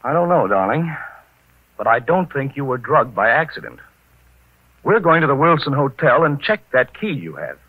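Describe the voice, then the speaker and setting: An actor playing a calm, suave married private detective, probing and soothing, in 1940s radio style on an old recording. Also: male voice, medium pitch, moderate pace, phone-quality sound, a little hiss or hum.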